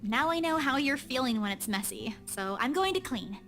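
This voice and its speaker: A flustered, earnest young woman from a visual novel game. Her voice sits very high and swings around a lot as she stammers and apologises, with music clearly playing behind her. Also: lively intonation, normal speed, fairly bright tone. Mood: defensive.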